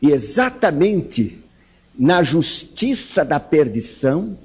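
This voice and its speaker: A man from São preaches slowly and deliberately, his husky voice swinging widely in pitch as he presses each point. He delivers an emphatic sermon with fervent rises on key words. The sound is dull and lo-fi. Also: very animated, darkish tone. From São Paulo, Brazil. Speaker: pastor. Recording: dull lo-fi recording.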